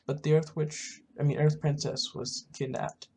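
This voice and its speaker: A gamer as a hesitant, husky-voiced adventurer. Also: medium pitch, flat delivery, darkish tone. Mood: uneasy. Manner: slow, halting.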